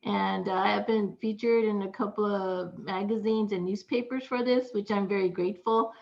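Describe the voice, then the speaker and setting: Woman with fry in a dull recording. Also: medium pitch, fairly even intonation, moderate pace. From California, born in Japan. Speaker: guest, cooking teacher. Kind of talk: informal self-introduction in an online talk. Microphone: video call. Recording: dull, muffled video call audio.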